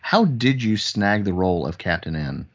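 A man hosting a casual interview, speaking haltingly and with compliments for his guest. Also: fairly low pitch, very animated, dull audio. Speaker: interview host.

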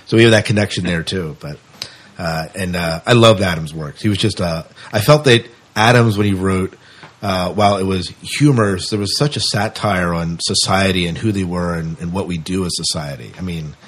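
A man with a deep, somewhat rough voice hosts a podcast interview. He talks casually, in a conversational style, as he puts questions and comments to his guest. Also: normal speed.

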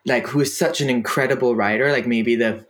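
Brief: man, 30s, searching, filler-heavy interview talk, mid-pitched voice. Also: fairly even intonation, fairly quick. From Denmark. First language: Danish. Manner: hesitant, many fillers and restarts, laughs easily. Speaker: guest writer. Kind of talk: casual interview conversation.

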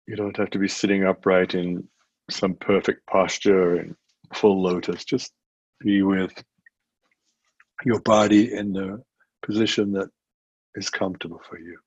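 Low, husky man guiding meditation. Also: steady tone, no echo. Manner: gentle, unhurried instruction with long pauses. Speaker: meditation teacher. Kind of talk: guided meditation. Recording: muffled, dull lo-fi audio.

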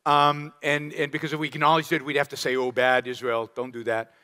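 A man giving a lecture and answering audience questions, animated and emphatic, firing off rhetorical questions. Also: on the high side, brisk, clear, resonant tone. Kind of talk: public lecture Q&A. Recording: long hall reverb.

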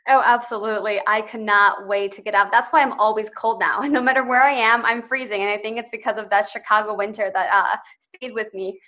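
Bright-voiced young woman telling her story. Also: mid-range voice, steady tone, fairly quick. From Ecuador. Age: 20s. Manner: earnest and eager, laughs lightly between phrases. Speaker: podcast guest, social entrepreneur. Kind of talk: personal storytelling in interview.

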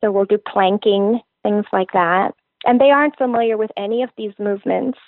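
A woman's voice: mid-range voice, fairly even intonation, moderate pace, dry recording. Woman, fitness trainer, eager and earnest in interview, tinny phone-quality audio. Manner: earnest, enthusiastic explaining. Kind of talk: interview answers. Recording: tinny phone-like line, dry.

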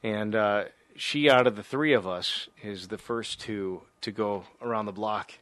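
A man's voice: on the low side, a little slow, a bit bright, no echo. A man in his forties hosting a casual, rambling chat in a gym, talking loosely with many fillers and laughs into a cheap condenser mic. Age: 40s. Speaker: podcast host. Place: gym, indoors. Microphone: cheap condenser mic with pop filter.

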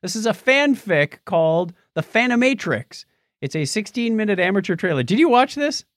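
A middle-aged man banters casually as a podcast co-host, teasing and wisecracking as he comments. His voice is somewhat high for a man and very animated in pitch, captured dry and without room echo. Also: normal speed.

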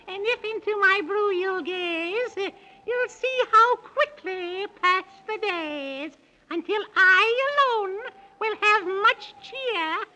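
A wicked old witch, gloats in drawn-out rhymes with a piercing, very high cackle. The delivery is theatrical 1930s radio acting, sharp-edged and menacing, on a scratchy vintage recording. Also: heavily acted.

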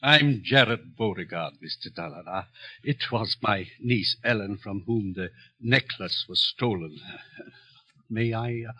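An actor playing a courteous, hesitant uncle from a wealthy small-town family in a 1950s radio drama, speaking haltingly. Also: male voice, fairly low pitch, sharp tone, husky, full character voice. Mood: polite, hesitant. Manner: slow, halting, polite.

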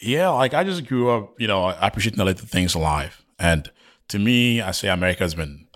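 A man's voice: on the low side, expressive, brisk, fairly bright tone. A man with a Ghanaian accent, talking casually and personally as a guest on a podcast. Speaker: podcast guest, oil and gas professional. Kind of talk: casual chat, personal reminiscence.

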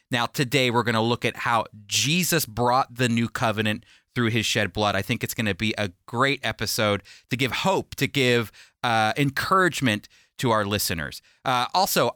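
An American man with a bright, forceful voice teaches the Bible as a radio host. His delivery is conversational but earnest and emphatic, at an ordinary male pitch. The recording is dry and close. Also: no echo.

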